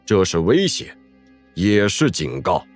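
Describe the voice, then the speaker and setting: Guy narrating a story dramatically, lowish, swings a ton, dry sound w music under. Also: sing-song, takes it slowly.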